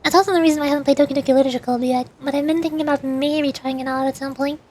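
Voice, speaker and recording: A woman's voice: sits high, steady tone, racing, a little mellow. A young woman streamer chatting casually with her viewers, rambling as she shows old screenshots. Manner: chatty, rambling, reads chat aloud.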